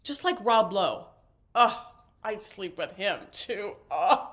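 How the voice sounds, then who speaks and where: A woman's voice: mid-range voice, very animated, takes it slowly, fairly bright tone. An actress playing a talkative, flighty, intrusive older mother in a stage play, chirpy and theatrical as she rambles. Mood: rambling, eager.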